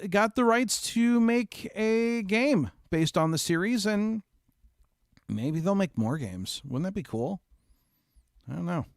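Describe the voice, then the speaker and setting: Chatty man hosting, higher-pitched, dry sound. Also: dry recording. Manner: informal, chatty, jokey asides. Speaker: streamer and host. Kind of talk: casual game stream chat.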